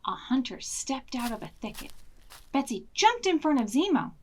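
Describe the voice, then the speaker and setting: A woman's voice: high-pitched, sing-song, moderate pace, a little mellow. A woman reading a picture book aloud to preschoolers as a storytime reader, with dramatic emphasis. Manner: dramatic emphasis, questions to young listeners. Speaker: children's library storytime reader.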